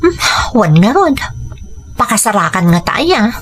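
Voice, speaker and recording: A woman's voice: mid-range voice, big pitch swings, unhurried. A woman playing an ambitious young hotel receptionist who longs for more, in an audio drama with faint noise. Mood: wistful, ambitious. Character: ambitious, discontented young female hotel receptionist dreaming of riches. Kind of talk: Ilocano audio drama acting.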